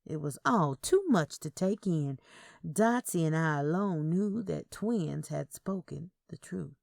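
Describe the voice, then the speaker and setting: A woman's voice: low voice, animated, unhurried, gravelly, a little mellow, no room sound, studio quality. A woman reading a scripted first-person story as its narrator.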